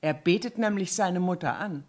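A woman's voice: fairly low pitch, moderate pace, darkish tone, lightly acted. An actress in a 1970s radio play voicing a gossipy cleaning woman who talks in a chatty, naive way. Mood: chatty gossip. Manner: chatty, matter-of-fact tattling.

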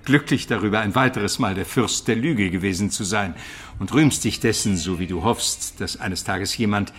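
Dry, lowish-voiced medieval listener. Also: male voice, breathy edge. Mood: wry reproach. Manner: measured, ironic. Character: dry, disapproving, urbane medieval gentleman hearing a friend's confession. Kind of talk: German radio play dialogue.

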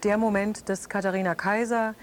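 A woman's voice: mid-range voice, fairly even intonation, a little hiss or hum, natural voice. A woman playing a reflective close friend being questioned in a naturalistic radio drama, thinking aloud and searching for words. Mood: earnest, troubled. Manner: thoughtful, searching for words, halting. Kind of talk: improvised naturalistic interview drama.

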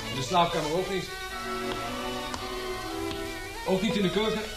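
An actor playing an easygoing, cheeky photographer sidekick in a Dutch radio drama, with a joking, offhand delivery. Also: male voice, sits high, racing, over loud music, natural voice. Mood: matter-of-fact.

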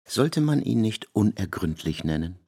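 A man's voice: lowish voice, very animated, unhurried, vocal fry, darkish tone, breathy edge, exaggerated voice. A man narrating a literary radio play in a declamatory, emphatic way, savouring ornate words.

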